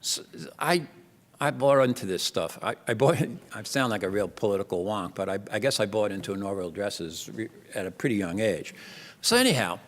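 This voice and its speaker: A man's voice: on the low side, expressive, fairly quick, a bit bright. An older man with a New Jersey accent giving a conference keynote, talking animatedly and conversationally with many restarts. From Jersey City, New Jersey. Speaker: keynote speaker. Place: large lecture hall. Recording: long hall reverb.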